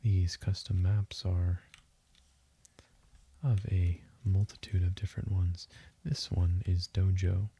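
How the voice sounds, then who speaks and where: Low, dark-voiced man, slow and hushed. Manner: hushed and breathy, drifting into whisper; calm, deliberate delivery. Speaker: gaming video host. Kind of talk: slow, soothing sleep-meditation game commentary. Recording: faint hiss with keyboard and mouse clicks. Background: keyboard typing and mouse clicking.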